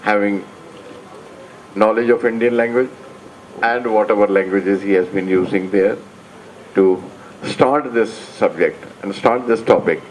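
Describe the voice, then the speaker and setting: A man moderating a book launch panel, speaking in a measured, deliberate way. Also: lowish voice, a little hiss or hum, low-quality recording. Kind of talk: formal public remarks.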